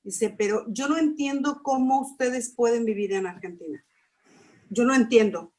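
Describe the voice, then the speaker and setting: A woman's voice: a little high, normal speed, a little mellow, poor audio. A middle-aged woman speaking casually in a church discussion, thinking aloud with small fillers. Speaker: church class participant. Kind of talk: casual conversation.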